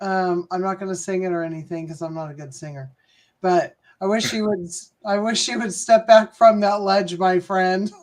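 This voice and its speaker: A woman with a deep voice, co-hosting a casual live-stream chat in a chatty, agreeable way and laughing easily. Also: steady tone, normal speed, a little mellow. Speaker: co-host of a live channel chat.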